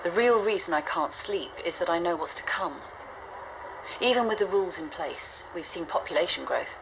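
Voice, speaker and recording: An actress playing a weary, resolute woman leading a stranded generation ship, recording game audio logs in a measured, grave, reflective manner. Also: deep voice, noisy background. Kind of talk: scripted audio log narration, dramatic game acting.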